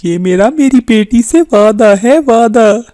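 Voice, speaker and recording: A woman voices a kindly, poor father bird in a children's cartoon. The delivery is slow and mellow in a fairly high register, patient and soothing. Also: lively intonation. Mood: reassuring, apologetic. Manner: soothing, coaxing. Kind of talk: Hindi children's cartoon character voice.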